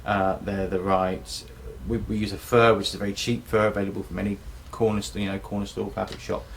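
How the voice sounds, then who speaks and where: A man's voice: fairly low pitch, level tone, fairly quick, slight background noise. A man explaining his craft as a puppet maker in an informal documentary interview.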